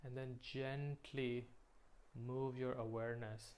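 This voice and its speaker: Man guiding meditation, even unvarying pitch. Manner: slow, soothing, pausing between short phrases. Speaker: meditation guide. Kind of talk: guided meditation.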